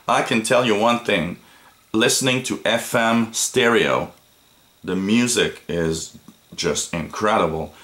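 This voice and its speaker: A man with a fairly low voice talks through an informal product review. He explains features step by step, pausing with hesitations and often repeating a phrase before going on. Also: a bit bright.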